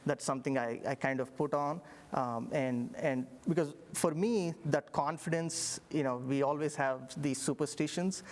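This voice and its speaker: Indian-accented man telling his story. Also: medium pitch. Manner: reflective, many fillers and restarts. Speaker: engineering professor. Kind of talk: interview storytelling.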